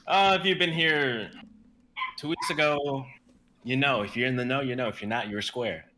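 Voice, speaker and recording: A man's voice: medium pitch, moderate pace, sharp tone, a little hiss or hum. A man introducing a script reading and its cast, making rambling self-correcting asides. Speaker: reader of stage directions. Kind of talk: casual chat, then introducing a read.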